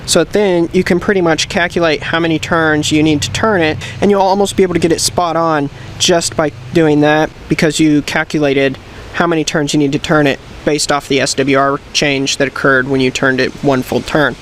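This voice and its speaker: A man's voice: a little high, fairly even intonation, normal speed, a bit bright. A man giving a hands-on tutorial, explaining things step by step in a plain, methodical way. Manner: plain, methodical instructional delivery. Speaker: presenter of a how-to video. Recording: clear background noise, vehicle ambience.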